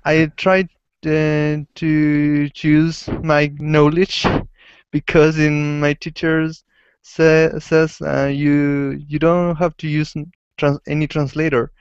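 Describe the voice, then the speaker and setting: Slow, hesitant man on a call. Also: fairly high pitch, little pitch movement, smooth, no echo. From Chile. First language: Spanish. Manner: hesitant, searching for words. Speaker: translation student. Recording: dull, dry video call audio.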